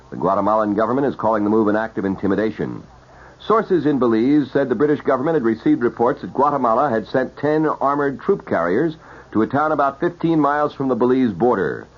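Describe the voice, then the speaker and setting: Husky male newscaster on muffled vintage radio. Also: medium pitch. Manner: formal, measured announcer delivery. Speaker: radio news anchor. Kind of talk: 1970s scripted radio newscast.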